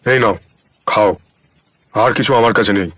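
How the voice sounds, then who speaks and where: An actor playing a kindly, wise wandering ascetic in an audio story drama, speaking in a gentle, earnest, philosophical manner. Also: male voice, fairly low pitch, measured pace, dry recording. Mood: curt but kind. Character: wandering holy man, kindly, wise, plain-spoken ascetic.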